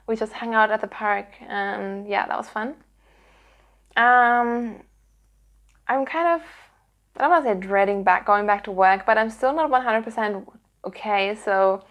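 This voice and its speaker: Chatty, higher-voiced woman vlogger. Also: a bit bright. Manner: rambly, earnest. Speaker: podcast host. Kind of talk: casual chatty vlog to viewers.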